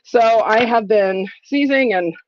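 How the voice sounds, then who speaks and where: A woman's voice: on the high side, measured pace, highs cut off, a little hiss or hum. A woman in her forties, a little sniffly, giving a chatty live crafting tutorial from her home craft room and thinking aloud as she works. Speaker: crafting demonstrator.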